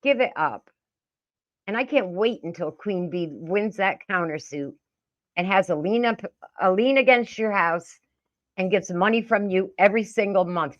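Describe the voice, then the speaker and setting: A woman's voice: lowish voice, muffled recording. A middle-aged woman giving casual, profane reaction commentary in a blunt, indignant, scolding manner. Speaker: reaction video host.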